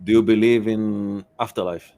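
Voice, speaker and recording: A man's voice: lowish voice, steady tone, normal speed, warm tone. A man speaking conversationally as a podcast guest, blunt and given to probing questions. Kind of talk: conversational discussion.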